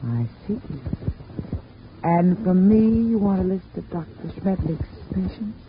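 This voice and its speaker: An actress playing a prim, quick-tongued young female nurse in a 1940s radio drama, firing off crisp, indignant retorts. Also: lowish voice, background noise, lightly acted. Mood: charmed. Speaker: doctor's nurse. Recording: muffled 1940s radio broadcast.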